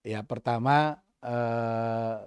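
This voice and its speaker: A middle-aged man from East Java with a faint East Javanese accent, talking thoughtfully in a podcast interview, pausing often with fillers and restarts. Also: medium pitch, fairly even intonation, smooth, dry recording, clean studio sound. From East Java, Indonesia. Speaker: guest, senior finance official.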